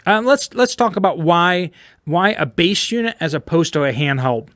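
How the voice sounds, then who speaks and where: American man explaining, higher-pitched dry voice. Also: expressive, moderate pace, a bit bright, no room sound. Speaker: host of a preparedness video channel. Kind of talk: informal explainer, product walkthrough.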